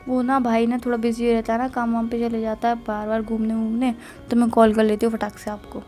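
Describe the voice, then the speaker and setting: Young female, Indian accent, highish pitch, casual couple's phone chat, teasing and defensive, faint music. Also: completely flat delivery, a little fast, dark tone. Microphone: phone call.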